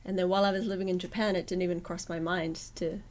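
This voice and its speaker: A woman's voice: on the low side, flat delivery, fast, a little mellow. A woman in her thirties from New Zealand with a slight New Zealand accent, chatting as a musician guest in an interview, laughing often and telling stories with animation. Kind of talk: casual interview chat.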